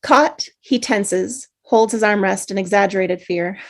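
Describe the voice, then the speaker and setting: A woman reads scene and action lines aloud at a remote table read. Her pitch is middling and her reading steady and measured, heard through a video call. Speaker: narrator reading stage directions.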